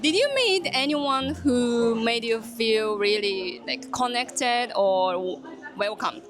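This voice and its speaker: A woman's voice: on the high side, slow, music in the background. A woman hosting a vlog, presenting and chatting casually in an effusive, admiring way. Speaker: vlog host interviewing attendees. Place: anime convention.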